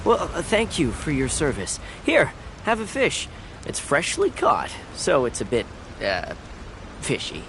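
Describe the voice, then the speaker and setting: A man voicing a grateful, warm-hearted island fisherman in a video game, talking in a folksy, rambling way with hesitant fillers. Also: fairly high pitch, wildly swooping pitch, a little slow, slight background noise, heavily acted. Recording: game music underneath.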